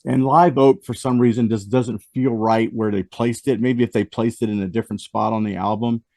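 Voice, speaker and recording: A man's voice: medium pitch, steady tone, normal speed, mellow, no room sound, clean studio sound. A man hosting a casual music review chat and losing his voice after the flu, rambling with frequent restarts and fillers. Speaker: host.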